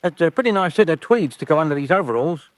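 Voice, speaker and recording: Man playing a cheery milkman, high-pitched voice, chatty, matey, deferential, close dry sound, radio drama. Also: lively intonation, fast, no echo, close to an everyday voice. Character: cheery, cheeky working-class milkman. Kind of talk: old-fashioned radio drama acting.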